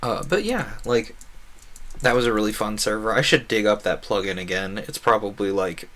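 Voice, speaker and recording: A man's voice: fairly low pitch, moderate pace. A young man streaming a game with casual, rambling commentary, animatedly acting out bits of conversation as he talks. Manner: animated, acts out quoted conversations.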